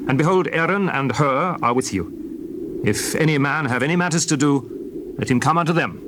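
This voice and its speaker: Man acting grave prophet, fairly high voice, formal, declamatory, scripture drama, noisy recording. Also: a little fast, close to an everyday voice. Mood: grave, instructing. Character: Moses, male leader, grave and authoritative. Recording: noisy old cassette tape.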